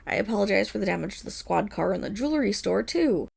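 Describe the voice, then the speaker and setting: A woman's voice: medium pitch, lively intonation, fast talker, vocal fry, a little mellow, breathy edge, exaggerated voice. A woman playing a flustered, boastful female superhero who rambles defensively through a dramatic public apology speech in an audio roleplay. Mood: stiffly contrite. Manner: rambling, defensive, dramatic storytelling with nervous laughs. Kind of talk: scripted audio roleplay monologue as a press conference speech.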